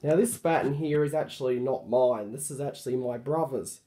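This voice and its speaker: A man with a hoarse voice from a cold, casually showing off a light fitting he refurbished, talking hesitantly with many ums and restarts, recorded on a handheld phone indoors. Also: medium pitch, moderate pace, mellow. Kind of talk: casual show-and-tell narration.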